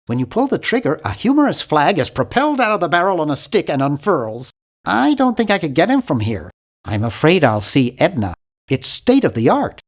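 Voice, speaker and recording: A bookish young nerd from an adventure game, timid and polite. His nasal voice swings widely up and down in pitch, and he sounds awkward, fussy and earnest in every line. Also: big pitch swings, a little fast. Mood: awkward, friendly. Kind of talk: video game character voice lines.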